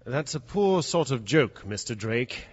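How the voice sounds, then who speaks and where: An actor playing a feverish, haunted English gentleman in an audio drama, moving between dazed narration and panic. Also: medium pitch, big pitch swings, measured pace, husky. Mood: confused, wry. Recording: muffled, noisy recording.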